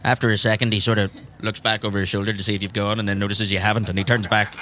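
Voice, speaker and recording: A man's voice: lowish voice, little pitch movement, racing, sharp tone. A man narrating a tabletop roleplay game as its game master.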